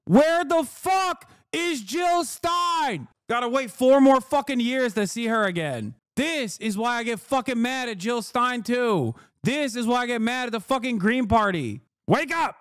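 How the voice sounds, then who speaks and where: A man's voice: extremely high pitch, lively intonation, measured pace, hardly any pauses, a bit bright, smooth, dry recording, studio quality. A man in his thirties, a streamer, ranting in heated, profane reaction commentary and laughing as he talks. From Turkey. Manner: laughs through his lines.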